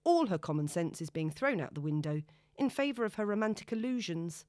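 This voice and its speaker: A woman audiobook narrator reading a novel in an expressive, dramatic storytelling manner. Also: mid-range voice, brisk, dry recording, clean studio sound.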